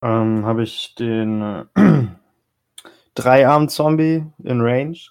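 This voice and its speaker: A man's voice: mid-range voice, very slow, a little mellow, clear, resonant tone. A man playing a tabletop game, talking casually and tentatively as he asks about his options.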